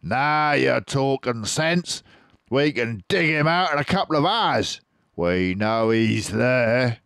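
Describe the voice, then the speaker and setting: Man doing a slow, blustering farmer. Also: mid-range voice, animated, creaky, a bit bright, clean tone, dry recording, clean studio sound, pitched above the performer's own voice, exaggerated voice. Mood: approving, gruff. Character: Boggis, gruff, blustering male farmer with rustic temper.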